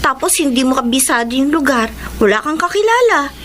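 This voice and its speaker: A caring, fretful teenage girl in a radio drama. She is high-pitched and animated, wheedling like a younger sister, over noticeable background ambience. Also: fairly quick. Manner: wheedling, sighing. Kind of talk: Tagalog radio drama acting. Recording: clear background ambience noise.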